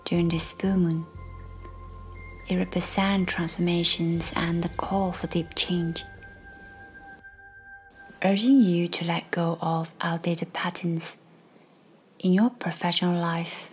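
Soft, breathy woman guiding a slow meditation. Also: on the low side, steady tone. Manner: gentle, measured, hushed delivery with long pauses. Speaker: guided meditation narrator. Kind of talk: slow, soothing guided meditation, scripted narration. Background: faint music.

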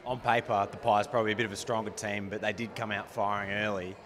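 A man's voice: on the low side, flat delivery, fast, a bit bright, a little hiss or hum. An Australian man working as a sports interviewer, asking casual post-match questions. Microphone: handheld interview microphone.

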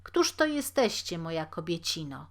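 A woman's voice: deep voice, very animated, moderate pace, voice pushed down. A woman voicing a haughty, self-important, judgmental male critic in a storybook tale, with a pompous, condescending manner. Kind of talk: storybook character reading.

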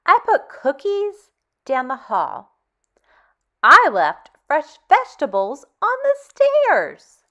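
A woman leads a children's storytime in a high, bright voice. Her pitch swings freely as she reads, with expressive, carefully spaced phrasing aimed at very young listeners. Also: highs cut off. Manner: animated, expressive, deliberate phrasing with dramatic hushes. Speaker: storytime host. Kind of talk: children's read-aloud, addressing young children.

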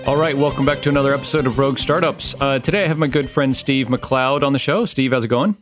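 A man's voice: mid-range voice, steady tone, fast, fairly bright tone, soft background music. A man hosting a podcast, chatting casually with a guest and often restarting his sentences. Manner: chatty, lots of fillers and restarts. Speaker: podcast host. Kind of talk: casual conversational interview. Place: home in a small village.

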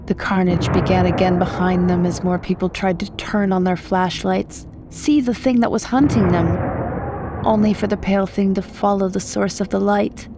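Woman, horror story narrator, scripted reading, medium pitch, noticeable background ambience. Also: fairly even intonation, normal speed, noisy background. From United States.

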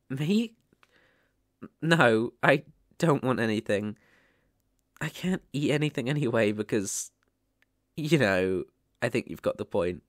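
A young British man playing a shy, sweet, clumsy ghost in an ASMR roleplay, speaking softly and hesitantly close to the mic. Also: on the high side, no room sound. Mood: polite, affectionate. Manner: hesitant, soft-spoken, gentle. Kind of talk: ASMR roleplay, soft intimate acting.

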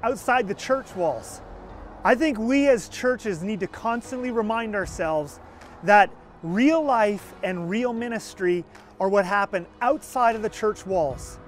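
High-voiced energetic pastor outdoors. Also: male voice, measured pace, slight background noise. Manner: energetic, gesturing, earnest. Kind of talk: enthusiastic church video address. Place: outdoors beside a building. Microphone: lapel mic.

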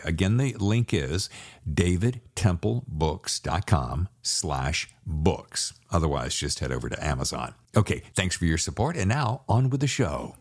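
Low-pitched, animated American male podcast host. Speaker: podcast host and thriller author. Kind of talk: upbeat scripted podcast narration and interview hosting.

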